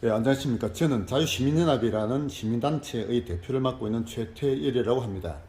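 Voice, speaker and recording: A man interviewing formally, reading out prepared questions in a measured way. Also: medium pitch, dark tone. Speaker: interviewer, civic group leader. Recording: echoey room, faint background noise.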